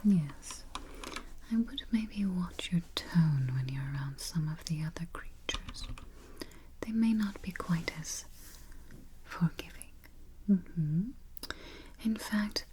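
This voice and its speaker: A woman whispering softly and calmly in an ASMR roleplay as a vampire travel agent, close to the microphone. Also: lowish voice, a little hiss or hum. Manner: soft whispering, unhurried and reassuring. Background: keyboard typing and taps.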